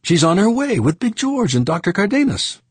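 A man voicing an excitable older man, raised and exclaiming, in an audiobook. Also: sits high, moderate pace, slightly rough, dry recording, pitched above the performer's own voice, heavily acted. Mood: incredulous.

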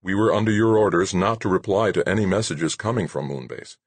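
A man voicing a distinguished, silver-haired corporate lawyer in an audiobook, mild, measured and placating. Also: low-pitched, breathy edge, dry recording. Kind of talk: audiobook character dialogue.

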